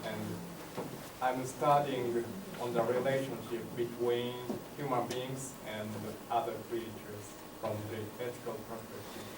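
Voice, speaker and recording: A man's voice: mid-range voice, little pitch movement, measured pace. A man from Japan, a master's student, introducing himself at a meeting in a careful, slightly formal way. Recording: echoey room, distant mic, noisy.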